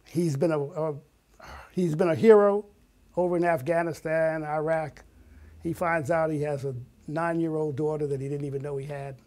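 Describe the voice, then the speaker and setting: An older man chats as an interview guest. His voice sits slightly high for a man. He tells his stories in an animated, enthusiastic way, like casual recollection. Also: moderate pace. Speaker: guest, writer and filmmaker.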